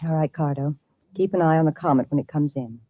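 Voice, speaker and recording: An actress playing a cool, calculating and ambitious villainess in an old-time radio science fiction drama. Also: female voice, deep voice, fast talker, dry recording. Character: scheming, ambitious villainess plotting against rival space lines. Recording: muffled vintage radio recording.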